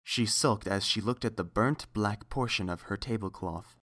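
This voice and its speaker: A woman's voice: rumbling low, normal speed, a bit bright. A woman reading a scripted story aloud as the narrator.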